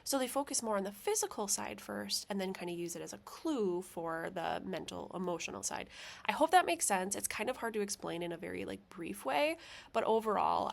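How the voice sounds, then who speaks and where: A woman's voice: medium pitch, gravelly, a little hiss or hum. A young adult woman therapist hosting a casual solo podcast, chatty and self-deprecating with frequent small laughs, her nose stuffy from allergies.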